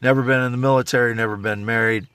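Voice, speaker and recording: A man in his fifties from Iowa with a Midwestern American accent and a scratchy voice, rambling in a halting, repetitive, insistent monologue. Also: medium pitch, steady tone, fairly bright tone, clear, resonant tone. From Iowa, United States. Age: 50s. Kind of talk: rambling self-recorded monologue.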